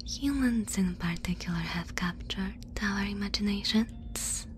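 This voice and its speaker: A woman playing a composed, cautious alien scientist in an ASMR roleplay, in a soft breathy whisper with a measured, formal, reassuring manner. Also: medium pitch, slight background noise, close to an everyday voice. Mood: earnest.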